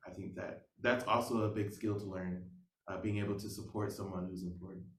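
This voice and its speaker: A man's voice: low voice, steady tone, fairly quick. A young adult man with an American accent, giving conversational interview answers as a music student. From Oklahoma, United States. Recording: echoey room, some background noise.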